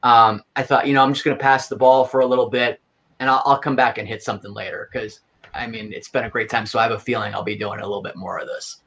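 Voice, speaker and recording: A man's voice: medium pitch, steady tone, fast talker, fairly bright tone. A man guest on a podcast, an audio drama creator, talking in a rambling, easygoing and self-amused way. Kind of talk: casual podcast chat.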